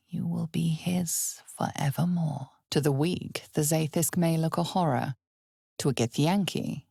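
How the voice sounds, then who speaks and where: A woman voicing a grave, ominous narrator of a dark fantasy video game, speaking in measured, deliberate dramatic narration with a breathy, husky voice that often drops toward a whisper. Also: low-pitched, no echo, clean studio sound, heavily acted. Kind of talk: scripted dramatic second-person fantasy narration.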